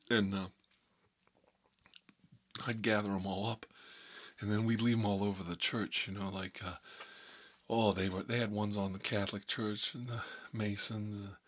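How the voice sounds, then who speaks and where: A man's voice: fairly low pitch, fairly bright tone. A man playing a nostalgic, folksy mob boss, telling rambling stories in a casual ASMR style. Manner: rambling, folksy, frequent "you know". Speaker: host of a character story series.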